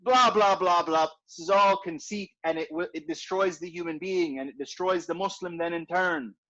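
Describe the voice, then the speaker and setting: High-voiced man teaching a religious class. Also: steady tone, normal speed, fairly bright tone. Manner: conversational, repeats points, frequent tag "right?". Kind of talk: informal religious class reading. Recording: dull lo-fi recording.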